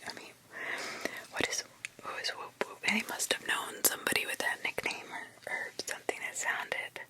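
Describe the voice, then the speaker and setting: A woman whispering spooky stories ASMR-style, pausing to react with disbelief and the odd swear. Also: sharp tone. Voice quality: whispered throughout. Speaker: ASMR storyteller reading scary stories.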